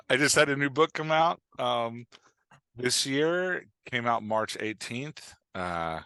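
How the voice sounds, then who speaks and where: A man talking casually as an interview guest, haltingly, in short phrases as he thinks aloud. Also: medium pitch, lively intonation, pushed and bright, smooth, dry recording. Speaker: podcast guest, author and writing coach.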